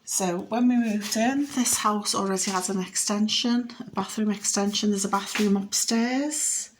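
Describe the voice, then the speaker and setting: A woman's voice: mid-range voice, a little slow, background noise. A woman in her fifties with a Liverpool accent, chatting casually and matter-of-factly as she gives a home vlog tour in a rambling way. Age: 50s. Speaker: home renovation vlogger. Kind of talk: casual house tour chat. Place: inside a house.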